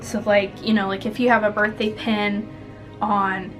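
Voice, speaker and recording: Woman, twenties, mid-pitched, chatty rambling advice, vlog style, clear background music. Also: level tone, measured pace, rough recording. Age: 20s. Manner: chatty, rambling, giggly asides. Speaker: vlogger giving interview tips. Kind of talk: casual advice to camera. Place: small indoor room at home.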